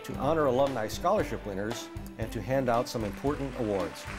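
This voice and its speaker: A man's voice: mid-range voice, expressive, a little fast. Male newsreel narrator over prominent music. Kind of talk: scripted narration.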